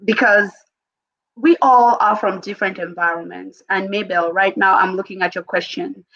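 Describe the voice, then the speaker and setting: A woman's voice: mid-range voice, steady tone, normal speed, fairly bright tone, muffled recording. A middle-aged woman hosting a podcast, encouraging her guests with frequent affirming murmurs. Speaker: podcast host. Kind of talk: casual conversation.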